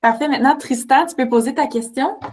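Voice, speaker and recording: Woman running the call, high voice, short and to the point. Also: a little fast, darkish tone. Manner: brief, directive. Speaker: moderator calling on students. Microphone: video call.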